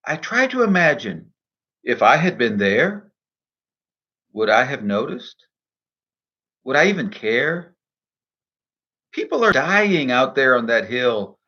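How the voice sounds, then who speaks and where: A man speaking in a measured, earnest, deliberate way, in the style of a devotional poetry reading and reflection. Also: medium pitch, sing-song, bright, forceful tone, highs cut off. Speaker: minister and poet reading own poem.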